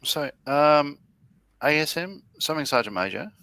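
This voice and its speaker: A man co-hosting a relaxed livestream, making dry teasing quips, a little farther from the mic. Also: a little high, normal speed, vocal fry, clear, resonant tone, no echo. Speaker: co-host. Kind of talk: casual livestream chat.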